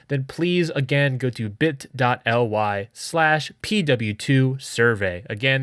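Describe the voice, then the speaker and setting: A man's voice: medium pitch, fairly bright tone, clear, resonant tone. A man hosting a podcast, addressing listeners casually in an emphatic, pleading way and often repeating himself for stress. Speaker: podcast host.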